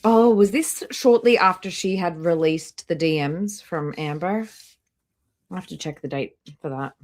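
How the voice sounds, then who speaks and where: A woman's voice: on the low side, measured pace, darkish tone. A woman hosting a casual reaction livestream, commenting bluntly and critically.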